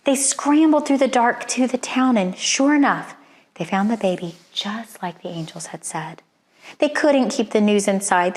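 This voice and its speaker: Female storyteller, mid-pitched voice, expressive children's read-aloud with deliberate phrasing.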